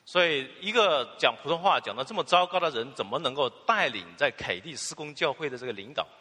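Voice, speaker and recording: Male sermon interpreter with a bright, animated voice, filler particles between phrases, echoing in a long-reverb church hall. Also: a little high, fast talker, slightly rough. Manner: fluent, with filler particles and restarts.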